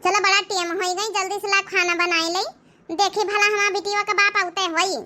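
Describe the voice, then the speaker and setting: Woman voicing high, bright Awadhi village mother. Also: little pitch movement, moderate pace. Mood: busy, chatty. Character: village mother, chatty, grumbling elder sister-in-law. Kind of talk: comedy cartoon character acting.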